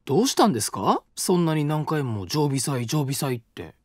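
An actor playing a polite, gentle young college student who cooks and patiently explains recipes. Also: male voice, on the high side, big pitch swings, moderate pace, dry recording, studio quality. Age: young adult. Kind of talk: studio audio drama. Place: dry studio.

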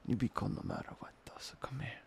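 A man performing an intimate boyfriend ASMR roleplay, murmuring softly and tenderly, breathy and often dropping to a whisper. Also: medium pitch. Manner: soft, tender, murmuring with long pauses.

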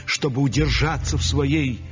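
An actor playing a brooding, exhausted painter in a 1960s radio drama monologue, grave, introspective and intense. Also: male voice, mid-range voice, moderate pace, soft background music, natural voice. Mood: intense, anguished. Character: brooding, tormented, exhausted male realist painter. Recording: muffled 1960s radio recording.

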